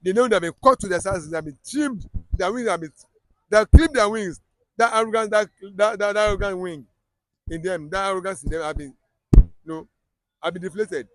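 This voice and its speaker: A man's voice: high-pitched, brisk, dry recording, poor audio. A man with a Yoruba Nigerian accent giving heated political commentary, emphatic and repetitive, often restarting his sentences.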